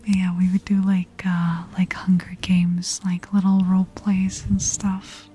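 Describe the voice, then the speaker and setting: A woman streaming ASMR, chatting casually in a soft, breathy, often whispered voice with a relaxed, intimate manner, close on the mic. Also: fairly low pitch. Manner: relaxed, slow, intimate murmur. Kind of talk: ASMR, casual chat with live viewers. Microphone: close ASMR microphone. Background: music.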